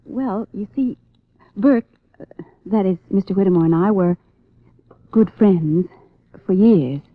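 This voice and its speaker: A woman's voice: mid-range voice, unhurried, no echo, natural voice. A woman playing a coy, anxious stage actress with a hesitant, genteel American delivery in 1950s radio drama. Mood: coy, nervous. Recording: tinny, narrow-band 1950s radio recording.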